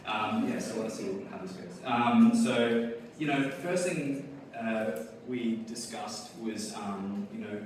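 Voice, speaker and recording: A man giving a casual conference talk on game design, with many fillers and small laughs. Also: mid-range voice. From Australia. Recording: echoey hall, speaker far from mic, audible noise.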